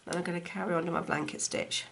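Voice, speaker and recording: A woman with a croaky voice from a cold, chatting casually as she demonstrates stitching at home. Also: on the low side, steady tone, quick pace, fairly bright tone. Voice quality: slightly gravelly. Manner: chatty, talks while stitching. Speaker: textile crafts teacher. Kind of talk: casual craft tutorial. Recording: faint appliance hum behind. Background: dishwasher running.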